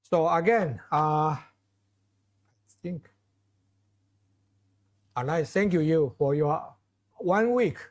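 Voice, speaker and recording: Chinese-accented man lecturing, halting. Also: on the high side, animated. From China. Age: middle-aged. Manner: halting, restarts phrases, many fillers, asks audience for questions. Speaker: visiting lecturer. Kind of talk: informal closing remarks to students.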